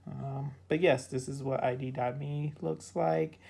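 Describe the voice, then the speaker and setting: Raspy young vlogger, flat delivery. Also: medium pitch, unhurried. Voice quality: raspy, cracky. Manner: rambling, filler-heavy, with many ums and likes. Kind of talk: casual screen walkthrough.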